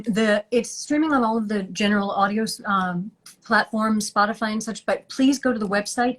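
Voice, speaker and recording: A woman with a medium-pitched voice talks as an actress guest, chatty and lively, often breaking into a laugh. She speaks from home over a video call. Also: poor audio. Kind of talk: interview chat.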